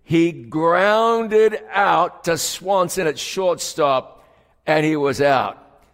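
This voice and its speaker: Folksy preacher in a big echoing hall. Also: male voice, fairly high pitch, extremely slow. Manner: folksy storytelling with lively play-by-play; leads congregation in prayer. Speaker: pastor. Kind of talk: sermon. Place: large church auditorium. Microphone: stage microphone with monitors. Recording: long reverb of a large hall.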